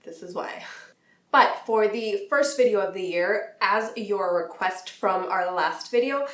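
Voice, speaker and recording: A young woman vlogging casually about beauty, chatty and laughing mid-sentence, her voice a little nasal and raspy from a recent illness. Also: mid-range voice, expressive, measured pace, a bit bright, roomy sound. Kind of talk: casual talk to camera.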